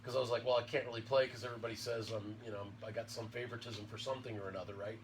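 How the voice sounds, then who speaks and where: A man in his fifties hosting a casual podcast interview, chatty and quick to laugh. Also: mid-range voice, fairly even intonation. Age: 50s. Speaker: podcast host. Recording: distant mic with faint room noise.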